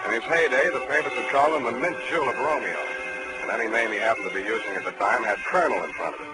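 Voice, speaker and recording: An actor playing a hard-boiled, wisecracking private detective in a 1940s radio drama, with a dry, slangy delivery. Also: male voice, mid-range voice, close to an everyday voice, poor audio. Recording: vintage 1940s radio broadcast, music underneath.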